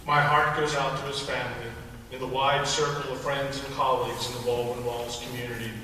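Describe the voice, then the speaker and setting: A man whose first language is Hungarian reads a prepared memorial tribute in a measured, earnest way, as an alumnus and trustee. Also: mid-range voice, level tone, bright, forceful tone, a little hiss or hum. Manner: measured, earnest reading pace. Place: large hall at a memorial service. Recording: distant echoey hall recording.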